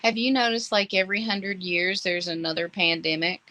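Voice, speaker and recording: Woman chatting in a halting, wandering way. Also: medium pitch, fairly even intonation, bright, forceful tone. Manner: halting, searches for words with ums. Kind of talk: casual chat.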